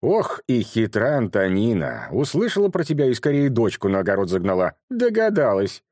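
A man's voice: on the low side, wildly swooping pitch, moderate pace, a little mellow, no room sound, clean studio sound, voice pushed up. A man voicing a shrewd, kindly old grandmother with a folksy, fond way of talking.

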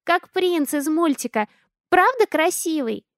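A woman voicing a curious, chatty four-year-old girl in a high, innocent voice, recorded close and dry. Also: expressive, unhurried, dry recording, heavily acted.

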